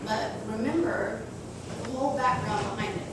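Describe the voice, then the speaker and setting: A woman with a raspy voice giving an earnest personal talk to schoolchildren about making wise choices. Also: fairly high pitch. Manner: earnest, slow and deliberate with children. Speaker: guest speaker to schoolchildren. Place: school hall. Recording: echoey room, distant mic, noisy.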